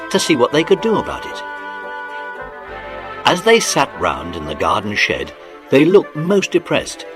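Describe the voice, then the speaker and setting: A man narrating a dramatised children's audiobook in an expressive, animated storytelling manner. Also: fairly low pitch. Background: music.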